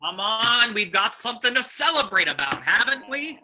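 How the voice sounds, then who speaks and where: Male actor as shifty, boozy saloon keeper for radio play: high, swooping voice, stammering bluster, noisy recording. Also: very animated, moderate pace. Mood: boastful, tipsy. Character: shifty, hard-drinking saloon keeper rattled by an unseen voice. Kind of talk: old-time radio drama acting.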